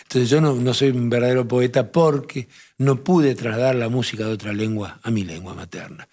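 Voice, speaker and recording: A man's voice: mid-range voice, darkish tone. Rioplatense man explaining poetry. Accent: Rioplatense Spanish. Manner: measured, explanatory, with frequent restarts. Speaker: literature professor on a TV talk show. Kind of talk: explanatory conversation.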